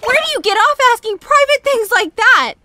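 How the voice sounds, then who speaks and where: A woman voicing a quick-tempered teenage girl who swings between flustered and sarcastic, in a comic dub. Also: very high voice, lively intonation, no echo, exaggerated voice. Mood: angry. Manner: flustered, then sharp and sarcastic. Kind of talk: fan comic dub acting.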